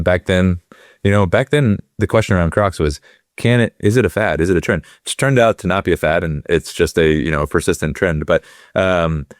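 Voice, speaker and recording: A middle-aged man with a deep voice, talking loosely in an interview, with lots of fillers and restarts. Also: smooth, no echo, studio quality. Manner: rambling, many fillers and restarts. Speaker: guest, company executive. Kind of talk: conversational interview.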